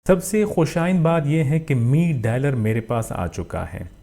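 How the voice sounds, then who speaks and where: Mellow-voiced Pakistani man reviewing a phone. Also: medium pitch, lively intonation, unhurried. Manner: frequent verbal fillers, English tech terms mixed in. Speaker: tech reviewer and channel host. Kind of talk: casual phone review narration.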